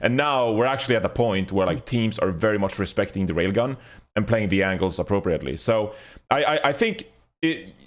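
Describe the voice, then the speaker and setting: A man's voice: fairly low pitch, lively intonation, brisk. A man co-hosting an esports podcast, analysing the game in casual chat.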